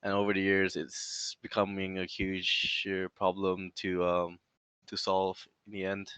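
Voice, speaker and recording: A man in his twenties co-hosting a casual podcast, speaking slowly and deliberately with fillers. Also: low-pitched, monotone, fairly bright tone, smooth, no echo. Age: 20s. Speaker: podcast co-host. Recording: dry, dull lo-fi mic.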